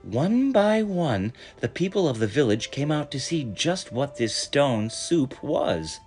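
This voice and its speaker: Male storybook reader, slow measured delivery, very lively pitch movement, music behind the voice. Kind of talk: expressive storybook narration.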